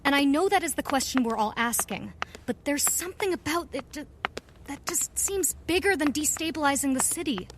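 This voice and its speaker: An actress playing a confident, inquisitive investigator with a wry, expressive delivery in an audio drama. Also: female voice, high voice, fairly quick, noisy background. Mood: thoughtful.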